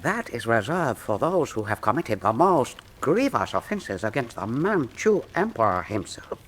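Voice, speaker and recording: A man's voice: medium pitch, big pitch swings, normal speed. A man playing a proud, courteous Chinese master torturer in a radio drama, speaking in a formal, ceremonious manner.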